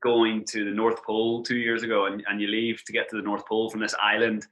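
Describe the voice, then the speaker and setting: An Irish man in his thirties, a podcast guest telling travel and football stories in quick, animated casual chat with lots of "like" fillers. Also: on the low side. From Ireland.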